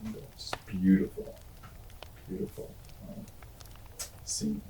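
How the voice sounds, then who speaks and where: A man hosting a storytelling show, reminiscing casually in a halting, unhurried, rambling way. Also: noisy background. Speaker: host and storyteller.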